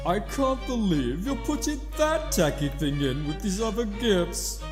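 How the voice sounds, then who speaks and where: A woman plays a sneering upper-class cartoon pony. The voice drags its dismissive words through long reverb, music clearly underneath. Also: mid-range voice. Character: sneering, snobbish rich unicorn pony.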